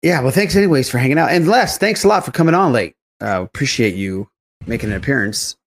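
Lively male podcast host, casual chat. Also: medium pitch, fast talker. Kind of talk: casual pop culture chat.

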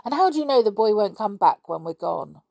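A woman's voice: medium pitch, very animated, a little fast, gravelly, a little mellow, no room sound, studio quality, pitched above the performer's own voice. A woman voicing a bossy young boy who leads a secret club, talking in a stern, scolding way.

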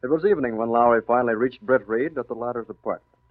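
A man's voice: medium pitch, fast, no echo. A man narrating a 1940s radio serial as announcer, with a formal, dramatic delivery. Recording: tinny, narrow-band old radio recording.

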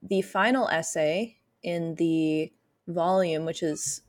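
A woman's voice: fairly low pitch, takes it slowly. A young adult woman interviewing a scholar, asking long, thoughtful questions full of hedges. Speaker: podcast interviewer.

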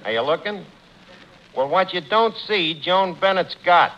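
A breezy New York tavern manager in a 1940s radio comedy, pitched high with a swooping delivery. He is slangy and mangles fancy words, on a dull, noisy old recording. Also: expressive, unhurried. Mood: breezy, wry. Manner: slangy, wisecracking, full of malapropisms. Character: cheerful wisecracking tavern manager who mangles his words. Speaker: tavern manager, lead comic.

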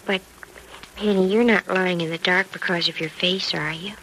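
An actress playing an earnest, girlish young expectant mother in an old radio drama, gentle and coaxing, on a vintage recording. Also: lowish voice, steady tone, normal speed, a little hiss or hum, low-quality recording. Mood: tentative, concerned. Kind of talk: 1940s radio drama acting.

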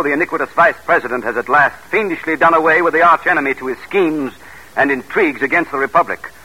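An actor playing a proud, formal gentleman in an old-time American radio drama, with declamatory delivery. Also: medium pitch, husky, rough recording. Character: proud, formal gentleman, stiff and easily affronted. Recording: vintage radio broadcast.

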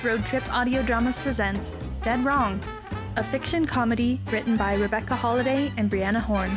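A woman's voice: a little high, moderate pace. A woman reading scripted opening credits as the announcer of an audio drama. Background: loud swing music.

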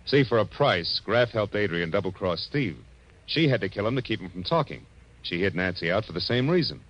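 An actor playing a tough, weary, reflective American private detective in a clipped, wry 1940s radio drama style. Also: male voice, fairly low pitch, expressive, a little fast, fairly bright tone. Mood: matter-of-fact. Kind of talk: 1940s radio drama acting, hard-boiled first-person narration. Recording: dull vintage radio recording, music behind.

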